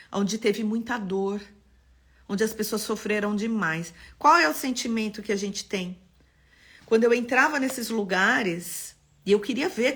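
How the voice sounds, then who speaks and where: Woman, Paulista accent, architect hosting a live lesson; bright, expressive delivery. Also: medium pitch, slightly rough. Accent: Paulista Brazilian Portuguese. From São Paulo, Brazil. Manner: expressive, greets viewers by name. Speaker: architect teaching a live class.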